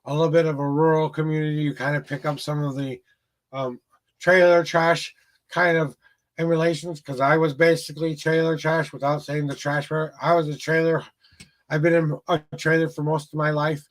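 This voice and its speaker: A man's voice: on the high side, level tone, moderate pace, poor audio. A man with a rural Minnesota Midwest accent, rambling through a casual livestream tarot reading with frequent restarts. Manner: rambling, repeats words and restarts often. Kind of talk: casual livestream chat with viewers.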